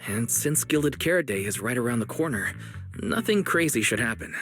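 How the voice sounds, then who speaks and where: Friendly young store manager for an anime game played by a man, mid-pitched, animated melody, casual, faint music behind. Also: normal speed, natural voice. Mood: relaxed. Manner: casual, polite.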